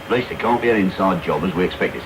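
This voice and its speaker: An actor playing a brutal, surly housebreaker, gruff and blunt, in a radio drama. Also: male voice, sits low, fast talker. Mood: gruff, grudging. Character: brutal, surly male housebreaker, rough and threatening. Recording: very noisy medium-wave radio broadcast off tape.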